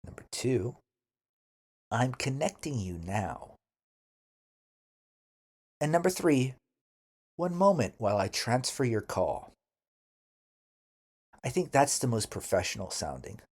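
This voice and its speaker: A man teaching an English lesson, speaking deliberately and enunciating carefully as he gives model phrases for learners to repeat. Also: medium pitch, big pitch swings, darkish tone, slightly rough.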